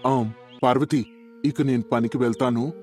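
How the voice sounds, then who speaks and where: A man's voice: medium pitch, fairly even intonation, normal speed, darkish tone, faint music behind, lightly acted. A man playing a kind, hardworking village farmer in a storybook tale, earnest and reassuring.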